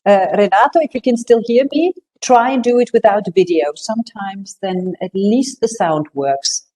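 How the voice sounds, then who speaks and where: A woman runs an online panel over a video call in an upbeat, chatty and brisk manner. She keeps things moving and hands the floor from one speaker to the next. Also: mid-range voice, animated, dark tone. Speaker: moderator.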